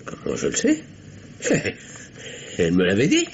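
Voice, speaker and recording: Shifty rattled suspect in radio drama, stammery, voice all over the place, muffled old recording. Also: male voice, on the low side, huge pitch swings, a little slow, a little hiss or hum, exaggerated voice. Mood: flustered. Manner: stammering, exclamatory, theatrical. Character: flustered, evasive male murder suspect, easily outraged. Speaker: actor in a radio play. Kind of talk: 1950s French radio mystery acting.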